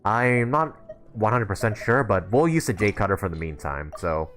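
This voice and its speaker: A man's voice: lowish voice, lively intonation, moderate pace, fairly bright tone, soft background music. A young man giving casual live stream commentary on a game, informal and thinking aloud as he plays.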